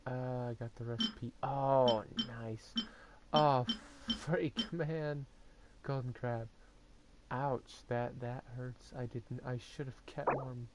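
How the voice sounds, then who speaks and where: Relaxed let's-play narrator, slow and chatty, talking to themselves, game music loud. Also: mid-range voice, background noise. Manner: chatty, exclaims and laughs to self. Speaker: gamer playing and commenting. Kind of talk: casual let's-play commentary.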